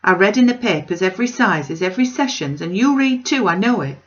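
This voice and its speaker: A woman's voice: mid-range voice, lively intonation, fairly quick, muffled recording, lightly acted. A woman voicing an earnest, distressed working-class male weaver who speaks in broad dialect, in a storybook reading. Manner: earnest, broad Northern English dialect speech.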